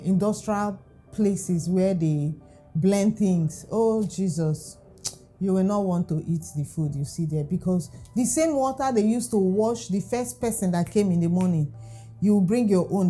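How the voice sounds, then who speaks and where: A woman's voice: on the low side, measured pace, warm tone, faint music behind. A Nigerian woman whose first language is Igbo, chatting animatedly to camera about food safety and laughing often. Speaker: food vlogger. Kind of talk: casual talk to camera.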